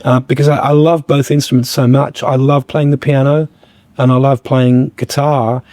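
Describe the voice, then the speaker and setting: Slightly croaky man, halting. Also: mid-range voice, level tone, mellow, dry recording. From Australia. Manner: halting, many fillers and restarts. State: a bit croaky. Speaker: guest musician. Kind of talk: reflective podcast conversation.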